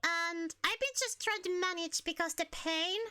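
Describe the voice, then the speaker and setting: A woman's voice: extremely high pitch, normal speed, pushed and bright. A woman from Finland with a Finnish accent, chatting casually and giggling as a live streamer, often stumbling over her words.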